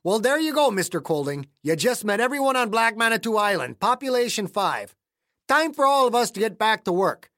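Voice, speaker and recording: A narrator voicing a gruff, scowling older caretaker with a folksy, surly manner. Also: medium pitch, sing-song, fairly quick, fairly bright tone, no room sound, studio quality, voice pushed up. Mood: annoyed. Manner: cantankerous, folksy, tacks "eh" onto sentences.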